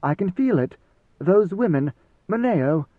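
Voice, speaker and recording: A man's voice: high-pitched, expressive, a little slow, voice pushed up. A man voicing a proud male warrior who is blunt, wary and full of challenging questions, in an audiobook reading. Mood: resentful, challenging. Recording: slightly roomy, phone-like narrow sound.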